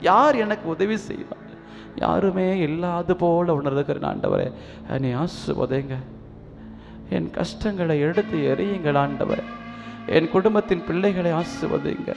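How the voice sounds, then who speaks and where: A man leading a spoken prayer as a priest, pleading fervently, swinging between loud appeals and hushed sorrowful passages. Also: fairly high pitch, animated, measured pace, creaky, lo-fi sound. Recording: long reverb with faint music behind.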